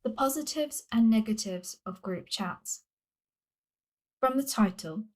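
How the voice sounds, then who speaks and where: A woman from Wales reading her own non-fiction book aloud in a measured, explanatory way. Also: mid-range voice, a little mellow. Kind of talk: scripted non-fiction audiobook-style reading.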